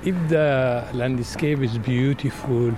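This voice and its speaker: A man talking in a reflective, halting way as an interviewee. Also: medium pitch, fairly even intonation. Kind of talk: reflective, halting personal talk. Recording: outdoor noise with traffic.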